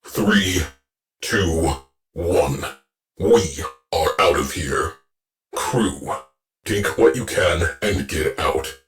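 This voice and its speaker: An actor playing a gruff male ship AI that gives clipped, deadpan military orders to a warship crew in a video game. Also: sits low, very slow, pauses often, heavily acted. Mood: terse. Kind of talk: game voice lines.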